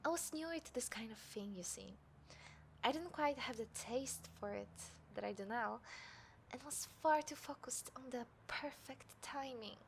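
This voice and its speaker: A smug female killer played by a woman toys with her prey in an audio drama. Her voice sits fairly high and carries a deliberate, taunting menace. Noticeable ambient noise runs behind her. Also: rough recording. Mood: smug, boastful. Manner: smug, menacing, deliberate, dropping into breathy whispers. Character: taunting, confident female serial killer hunting a detective. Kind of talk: scripted audio roleplay addressed to the listener. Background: city ambience sound effects.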